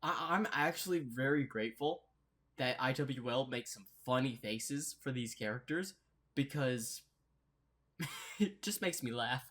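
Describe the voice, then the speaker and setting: A man's voice: medium pitch, unhurried, a bit bright. A man hosting a reaction video with casual, rambling commentary, easily amused.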